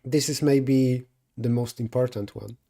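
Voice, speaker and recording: Mellow-voiced Polish man thinking aloud. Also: mid-range voice, fairly even intonation, unhurried, vocal fry. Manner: thinking aloud, self-correcting mid-sentence. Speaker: streamer solving coding problems. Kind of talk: live coding stream, answering chat.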